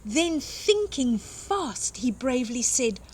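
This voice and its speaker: A woman's voice: sits high, very animated, slow, a little mellow, breathy edge. An older woman reading a picture book aloud expressively to young children, with simple explanatory asides. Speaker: children's storytime reader and host.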